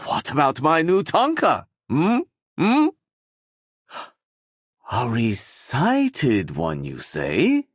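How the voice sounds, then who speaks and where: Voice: medium pitch, no echo, studio quality, full character voice. An actor voicing a flamboyant, caring poet character in a video game, with theatrical delivery.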